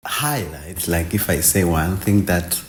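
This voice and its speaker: A man's voice: deep voice, a little slow, a little hiss or hum. A man in his late twenties from Rwanda, answering interview questions hesitantly, with many fillers. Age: 20s. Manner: hesitant, lots of 'like' fillers. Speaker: exchange participant.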